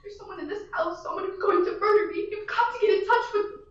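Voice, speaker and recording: A woman's voice: very high-pitched, fast talker, background noise. A teenage girl acting a frantic, bedridden woman alone at night in a school radio play, anxious and pleading. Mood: panicked. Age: teens. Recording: echoey, distant, dull classroom recording.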